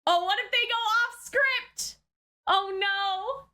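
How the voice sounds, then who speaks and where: Casting: female reaction host, very high bright voice, unhurried pace, informal and expressive. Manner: informal, slangy, many short exclamations and repeated words; emotionally expressive, reacts out loud. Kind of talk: casual, unscripted reaction commentary to an anime episode.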